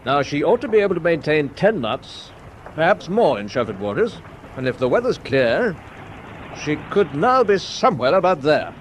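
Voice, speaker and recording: Wartime British destroyer captain, radio drama, clipped measured orders, slightly high pitch, faint engine rumble. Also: male voice, sing-song. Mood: brisk, composed.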